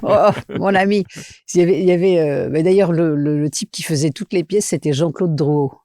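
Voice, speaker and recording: A middle-aged woman from Belgium, an actress and director, chatting lively in an interview with many fillers and restarts. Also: sits low, brisk, no echo, studio quality. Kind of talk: casual interview chat.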